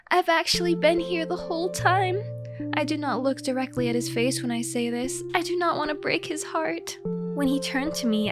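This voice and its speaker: Woman, high pitch, earnest theatrical narration, first-person comic diary, background music. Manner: theatrical, mock-serious, earnest. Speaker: storyteller, content creator.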